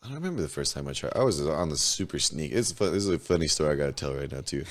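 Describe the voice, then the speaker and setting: A hoarse man in his twenties from New Mexico, a podcast guest telling candid, profane stories in heavy slang. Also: a bass voice, lively intonation, rapid-fire. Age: 20s. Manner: laid-back, profane, storytelling, heavy slang. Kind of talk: casual candid interview chat.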